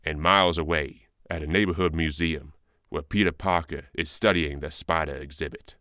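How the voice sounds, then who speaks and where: A man acting as a grandiose comic-book narrator with an extremely deep voice and a forceful, sharp edge. His scene-setting narration rings with long reverb. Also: fairly even intonation, moderate pace. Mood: dramatic, steady.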